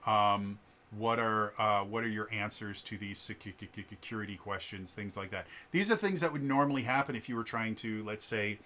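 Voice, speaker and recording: A man with a bass voice but a bright, forceful edge to his tone, talking casually to his vlog audience. He explains at length, leaning on fillers and catching on stuttered sounds now and then. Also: moderate pace. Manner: explanatory, chatty, with many fillers. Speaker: vlogger.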